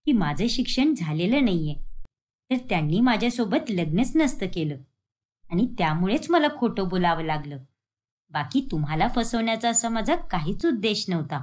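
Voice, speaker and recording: A woman's voice: mid-range voice, a little mellow, voice pushed down, close to an everyday voice. A woman voicing a naive, earnest young village daughter-in-law in a narrated cartoon moral story.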